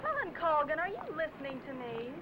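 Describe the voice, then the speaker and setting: High-pitched, insistent, bossy friend. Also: female voice, sing-song, a little fast. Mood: scolding. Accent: American. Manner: insistent, talkative. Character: bossy, chatty, scolding female friend. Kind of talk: 1950 film noir dialogue. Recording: noisy vintage film soundtrack, street sounds.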